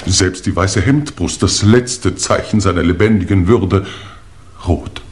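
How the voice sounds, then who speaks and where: An actor playing a pompous, shaken English lord in a 1950s German radio crime play, theatrical, with hesitant pauses. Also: male voice, deep voice. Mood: shocked, grave. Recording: old noisy 1950s radio recording.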